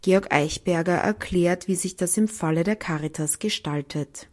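A woman, a radio reporter, reading crisp scripted links between interview clips. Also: on the low side, moderate pace. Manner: crisp scripted link lines. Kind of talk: scripted news narration. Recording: music bed under the voice.

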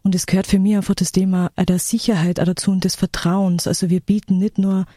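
A woman's voice: mid-range voice, flat delivery, brisk, dark tone, no echo, clean studio sound. A woman and support worker explaining her work earnestly as a radio guest.

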